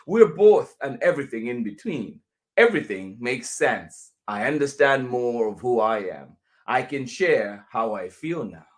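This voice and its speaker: A man reading a children's picture book aloud as a teacher would, deliberately, with pauses between phrases. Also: medium pitch, very animated.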